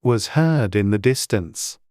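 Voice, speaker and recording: A man's voice: on the low side, animated, takes it slowly, a little mellow, no echo, studio quality. A man reading scripted storybook narration as an audiobook narrator.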